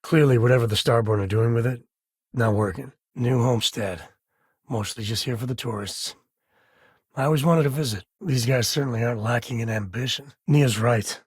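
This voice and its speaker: Game role, male actor: wry ex-ranger and single father among the stars; heavily husky, folksy, laid-back, dry studio sound. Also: medium pitch, normal speed, no room sound, lightly acted. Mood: wry, relaxed. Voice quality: husky, raspy. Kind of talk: video game voice acting.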